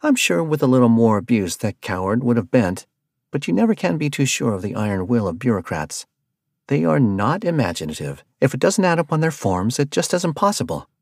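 Man playing a foul-mouthed woman mercenary, raised shrill pitch, loud and swooping, audiobook. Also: sing-song, a little fast, creaky, no echo, clean studio sound. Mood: cocky. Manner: yelling insults, brash and loud.

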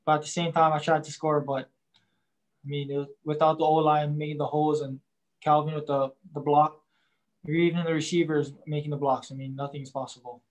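A young man, a college quarterback, answering post-game press questions in a halting way, leaning on "I mean" and crediting his teammates. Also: fairly high pitch, flat delivery, rough recording. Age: young adult. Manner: halting, starts nearly every phrase with "I mean".

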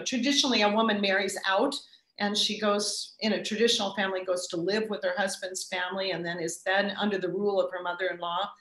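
A middle-aged woman speaking at an average pitch, answering questions in a thoughtful way. She pauses often and restarts her sentences as she works out what she wants to say. Her voice carries a noticeable room echo. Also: a bit bright. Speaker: guest speaker. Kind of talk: answering audience questions at a talk.

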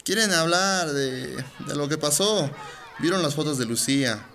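A troubled, sullen teenage boy acted by a woman in a radio drama. The voice is low and swings defensively, over faint ambient noise. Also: expressive, a little slow, fairly bright tone, heavily acted. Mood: uneasy. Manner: defensive, uneasy.

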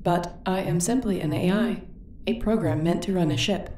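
A woman's voice: fairly low pitch, moderate pace, darkish tone, lightly acted. A woman voicing a polite, literal-minded spaceship AI in a sci-fi audio roleplay, formal and matter-of-fact. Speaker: voice actor. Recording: echoey, distant, faint noise.

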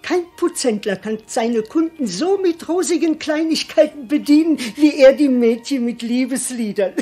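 An actress playing a gushing, bawdy, excitable country servant in a 1960s radio comedy scene, effusive and rapturous. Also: female voice, high-pitched, soft background music. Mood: amused, swooning. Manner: effusive, rapturous, drawn-out delivery. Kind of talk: 1960s German radio drama acting.

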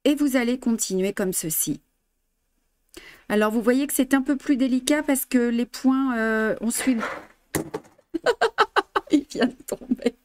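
High-voiced French embroidery teacher. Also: female voice, lively intonation, slow, pauses often, dry recording. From France. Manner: chatty, laughs often, mixes in English and Spanish. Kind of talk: live-streamed stitching lesson. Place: indoor studio, blue backdrop.

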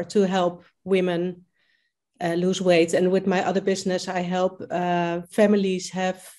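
A woman's voice: medium pitch, warm tone. A Dutch-accented woman in her fifties speaks on a video call from her home kitchen. English is not her first language, so she halts often and restarts her sentences as she talks things through. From Netherlands. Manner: hesitant, many fillers and restarts. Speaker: coaching client.

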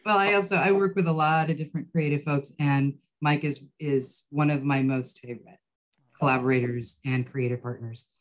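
A middle-aged woman answering interview questions as a guest on a video call, thoughtful and measured, with pauses. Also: low voice, steady tone, low-quality recording. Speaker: guest writer and producer. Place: indoor room with bookshelves, video call.

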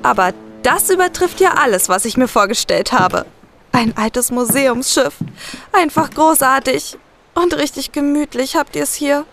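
A woman's voice: sits high, lively intonation, measured pace, fairly bright tone, slight background noise, full character voice. A young woman playing a chatty, slightly hesitant client who seeks detectives' help in an audio drama. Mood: impressed. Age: young adult.

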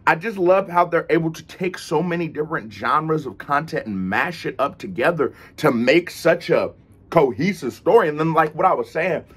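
A young American man reacts casually on camera in a husky voice that sits a bit high. He talks in animated slang and often repeats himself. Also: moderate pace. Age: young adult. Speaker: reaction video host. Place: indoors, room with unfinished basement ceiling.

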